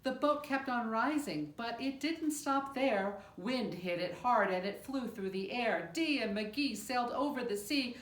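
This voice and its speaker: A woman reading a rhyming picture book aloud in an expressive, measured storytelling manner with deliberate pauses. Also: a little high. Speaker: storybook reader. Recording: roomy, echoing room sound.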